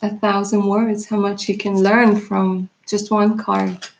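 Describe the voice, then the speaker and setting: A woman's voice: mid-range voice, level tone, unhurried, vocal fry, lo-fi sound, slight background noise. A Russian-born woman, a native Russian speaker, who works as a tarot reader and chats with viewers on a live stream. She laughs often and calls them darling.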